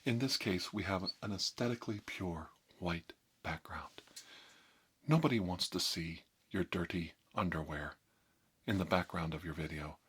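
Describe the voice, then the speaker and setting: Low-pitched deadpan man giving mock ASMR tutorial. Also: breathy edge. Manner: slow, deliberate, deadpan mock-doctor delivery. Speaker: ASMR video host.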